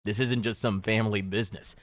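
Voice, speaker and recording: A man with a fairly low voice narrates a scripted history story addressed to the listener. His delivery is darkly wry and dramatic, and the sound is dry and close. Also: steady tone, quick pace, no room sound. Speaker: history explainer video narrator. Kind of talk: scripted second-person narration.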